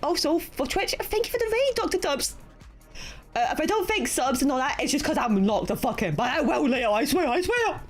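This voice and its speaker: A woman streamer chatting casually on stream in an excitable, laughing, profane way. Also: high voice, brisk, faint music behind.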